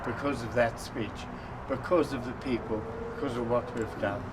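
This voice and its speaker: A man's voice: mid-range voice, moderate pace. Man, mid-range voice, heartfelt testimony, recorded outside with heavy street noise. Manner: earnest, emphatic, building in repeated phrases. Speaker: interviewee in documentary clip. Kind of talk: reflective testimony. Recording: loud street noise outdoors.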